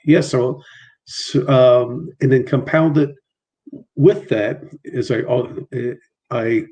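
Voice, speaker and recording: A man's voice: medium pitch, darkish tone. A middle-aged man who stutters, speaking as a guest on a casual video call with many repeats and restarts. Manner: halting, frequent repetitions and restarts, mild stutter.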